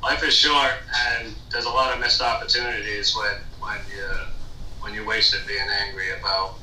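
Bright-voiced man, far from the mic. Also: on the low side, flat delivery, reverberant, a little hiss or hum. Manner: measured, thoughtful, hesitant with fillers. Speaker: guest. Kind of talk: casual conversation.

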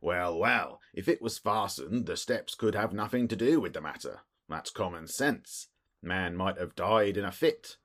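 Man playing a husky, blustering police detective. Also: low-pitched, animated, unhurried, fairly bright tone, heavily acted. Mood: pompous, musing. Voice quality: muffled, husky, wheezy. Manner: blustering, short clipped phrases. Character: stout, bluff, self-important middle-aged male police detective. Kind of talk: audiobook character voice.

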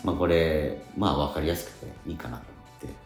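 A middle-aged man hosting a radio show, chatting casually and telling things in halting pieces. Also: very deep voice, fairly even intonation, echoey room, faint music behind. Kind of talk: casual chat. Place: small tatami dressing room at a theatre.

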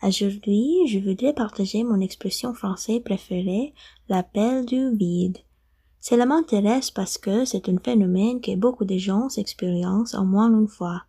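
A woman's voice: mid-range voice, a little slow, dark tone, breathy edge. A woman from the Philippines with a Filipino accent, giving a prepared personal message.

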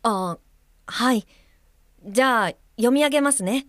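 An actress playing a gentle guide helper for the blind who reads a letter aloud carefully in a radio drama. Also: female voice, on the high side, measured pace, no echo, clean studio sound, close to an everyday voice.

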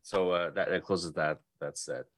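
A man co-hosting a podcast, bantering casually with a joking, deadpan manner. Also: low voice, normal speed, no echo. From Canada. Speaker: podcast co-host.

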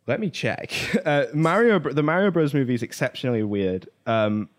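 A man co-hosting a podcast in casual chat about films, explaining things in a halting, rambling way full of tangents. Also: mid-range voice, lively intonation. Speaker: podcast co-host.

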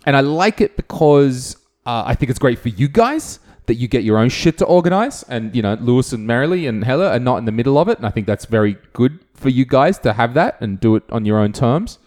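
Animated, swearing man co-host. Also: medium pitch, a little fast, hardly any pauses. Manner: swears freely, animated storytelling. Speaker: comedy podcast co-host. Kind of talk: casual chat.

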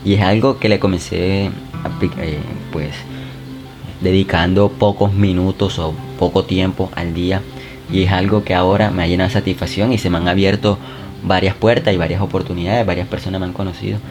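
A man's voice: low-pitched, flat delivery, fairly quick. A man hosting a self-help podcast, coaching the listener directly in a casual, encouraging way. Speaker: podcast host. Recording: music bed behind the voice.

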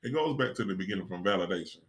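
A man's voice: low voice, lively intonation, very fast. A man in a motivational panel conversation, speaking emphatically and a little preachily, repeating key phrases to drive them home.

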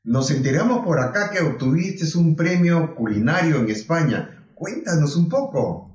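A man hosting an interview, introducing his guest in a measured, formal way. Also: on the high side, very animated, darkish tone, roomy sound. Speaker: host. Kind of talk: presenting an interview.

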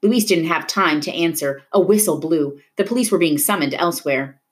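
A woman reading a novel aloud in an expressive storytelling style, dramatic at tense moments. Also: low voice, moderate pace. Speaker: reader of a children's novel. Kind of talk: expressive read-aloud narration of a book.

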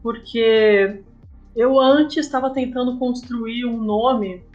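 A woman's voice: on the high side, steady tone, slow, a little mellow, dull audio, faint music behind. A Brazilian woman raised in São Paulo, telling her own story informally as an interview guest. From southern Brazil-born, raised in São Paulo. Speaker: guest, comic artist and storyboarder. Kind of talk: casual interview, personal storytelling.

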